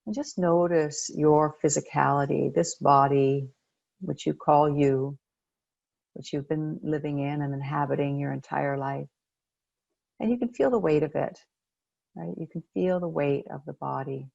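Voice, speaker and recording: Meditation coach, woman, low gravelly fry, gentle and unhurried delivery. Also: dry recording, dull audio. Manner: gentle, unhurried, pausing between phrases. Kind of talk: guided meditation.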